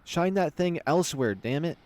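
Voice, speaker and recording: A man's voice: on the high side, expressive, moderate pace, a little mellow, voice pushed up, close to an everyday voice. Man doing a stern wolf commander, curt, grumbling, reserved, visual novel character. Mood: irritated. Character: gruff, reserved male wolf squad leader, grumbling.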